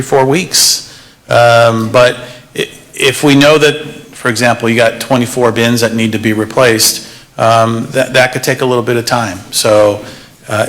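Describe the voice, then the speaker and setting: A man's voice: medium pitch, a bit bright. A man representing a waste hauler, answering questions at a public workshop in a hesitant way with many fillers. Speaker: waste hauling company representative.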